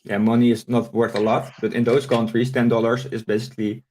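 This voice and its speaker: A man's voice: medium pitch, level tone, dark tone, slight background noise. A man from the Netherlands, Dutch his first language, explaining things as an interview guest with frequent hesitant fillers. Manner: explanatory, with many filler pauses. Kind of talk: casual interview.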